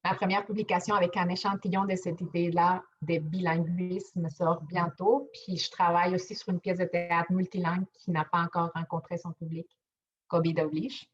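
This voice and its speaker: A woman's voice: fairly low pitch, flat delivery, normal speed, husky. A young woman, a native Spanish speaker, giving a prepared personal testimony as a writer and actor. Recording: dull, band-limited audio with faint noise and clicks.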